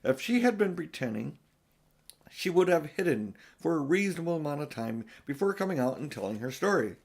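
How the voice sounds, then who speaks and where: A man's voice: fairly high pitch, big pitch swings, fairly quick, creaky, darkish tone, exaggerated voice. Man reading as an emphatic, learned old professor. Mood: reasoning, musing. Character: learned old male professor, musing and sharp. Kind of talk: storybook character reading.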